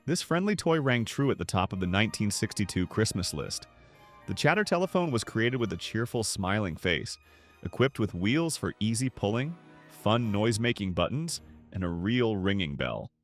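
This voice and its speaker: A man reading a scripted, nostalgic documentary narration in a measured, polished way. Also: on the low side, sing-song. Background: background music.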